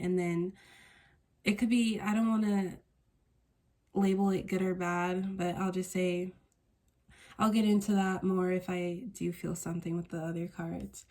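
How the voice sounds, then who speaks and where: A woman's voice: on the low side, flat delivery, normal speed, dark tone. A woman with a congested, stuffy voice giving a casual tarot reading as host of her channel, wandering through her thoughts and correcting herself as she goes. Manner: meandering, searching for words, self-correcting. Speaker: tarot card reader.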